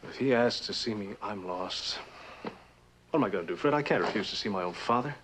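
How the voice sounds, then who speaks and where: A man's voice: on the low side, lively intonation, fairly quick, fairly bright tone. An actor playing a troubled, anxious young male heir, theatrical and hesitant, in an old suspense drama. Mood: anguished. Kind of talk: old radio and television suspense drama acting. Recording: noisy vintage drama recording.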